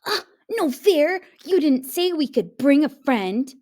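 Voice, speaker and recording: Woman as a high, excitable kid-brother ape. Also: slow, full character voice. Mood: whining. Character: overgrown younger brother ape, eager and easily scared. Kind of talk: children's storybook read-aloud.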